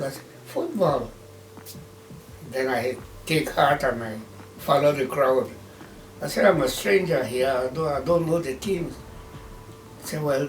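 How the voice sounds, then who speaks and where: A man over a hundred years old, from Belize, slowly recalling his memories in an interview. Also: a little high, a little hiss or hum. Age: 100s. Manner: slow, reminiscing, gentle. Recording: roomy, from a distance.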